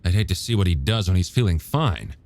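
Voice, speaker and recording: A man playing a skeptical, gruff small-town police chief in an audiobook, asking blunt, incredulous questions. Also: low voice, very animated, brisk, darkish tone. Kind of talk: audiobook character dialogue.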